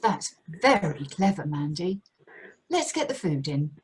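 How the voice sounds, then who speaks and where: An amateur actress playing a put-upon, placating middle-aged British wife in a radio play. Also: female voice, low-pitched, sing-song, a little slow, husky, heavily acted. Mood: pleased. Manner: harried, conciliatory.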